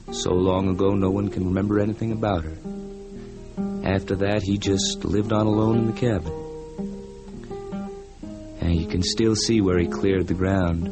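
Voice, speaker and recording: An actor playing a folksy, plainspoken American frontier man who reflectively recounts a local haunting in a radio drama. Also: sits low. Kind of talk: old-time radio drama narration. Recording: music behind the voice.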